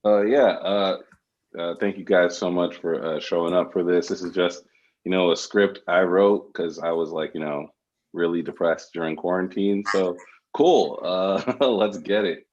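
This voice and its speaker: A man's voice: sits low, measured pace, a little mellow, highs cut off. A man, the writer, reading out stage directions as narration at a script table read.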